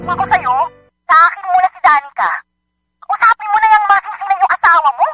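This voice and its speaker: A woman's voice: very high-pitched, lively intonation, a little fast, bright, forceful tone, lo-fi sound, exaggerated voice. A woman playing a blunt, teasing female friend in a Filipino radio drama, cheeky in manner. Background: prominent background music.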